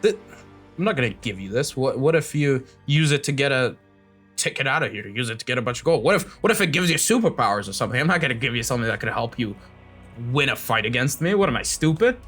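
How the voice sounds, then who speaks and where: A man's voice: medium pitch, expressive, fast talker, fairly bright tone, soft background music, lightly acted. A man playing a suspicious, stubborn thug in a tabletop game, blunt and challenging, firing off rhetorical questions. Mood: scornful, threatening. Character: suspicious, stubborn male thug named Titus, threatening and scornful.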